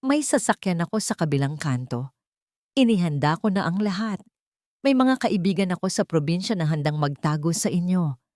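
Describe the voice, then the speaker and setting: Narrator as resolute loyal woman, low voice, firm and urgent, audio story, dry sound. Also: big pitch swings, moderate pace, darkish tone, no echo. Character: loyal, resolute female friend urging escape.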